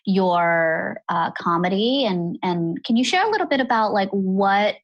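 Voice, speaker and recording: A woman's voice: medium pitch, expressive, measured pace, highs cut off. A woman hosting a podcast interview in a casual tone, affirming the guest and summing up her story. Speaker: podcast host.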